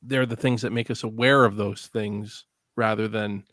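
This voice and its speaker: A man's voice: fairly low pitch, fairly even intonation, moderate pace, fairly bright tone, dry recording, studio quality. A man from Canada, a filmmaker guest chatting casually on a podcast, laughing often and swearing freely.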